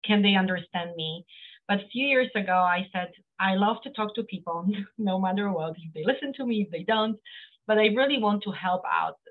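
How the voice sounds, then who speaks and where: A woman's voice: mid-range voice, moderate pace. A middle-aged woman with a Polish accent, a guest talking earnestly over a video call with many fillers and restarts. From Poland. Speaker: guest, accountant. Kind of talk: casual interview talk. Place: home office, indoors. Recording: phone-like narrow sound.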